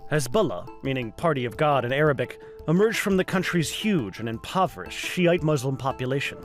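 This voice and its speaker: A man's voice: medium pitch, expressive, fairly quick, faint music behind. A man narrating a scripted news explainer as a correspondent.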